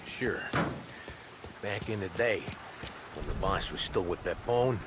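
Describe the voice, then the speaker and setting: Deep-voiced reminiscing gangster. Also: male voice, expressive, slow. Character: reminiscing male gangster telling old war stories. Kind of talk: dramatic game cutscene acting. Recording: loud ambient noise.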